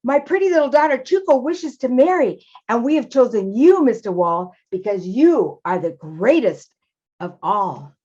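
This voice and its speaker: A woman's voice: fairly high pitch, very animated, moderate pace, mellow, husky, lo-fi sound. A woman voicing an earnest, hopeful father mouse in a storybook tale, speaking with effusive, pleading politeness. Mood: excited, proud. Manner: effusive, pleading, polite address with repeated names. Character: earnest, hopeful old-fashioned father mouse, proud of his daughter.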